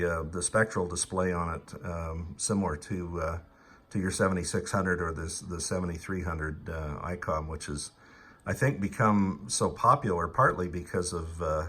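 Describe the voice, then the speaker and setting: A man talking casually as an amateur radio operator, explaining gear technically in a halting, hesitant way. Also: low voice, a bit bright, slightly rough. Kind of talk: casual ham radio chat.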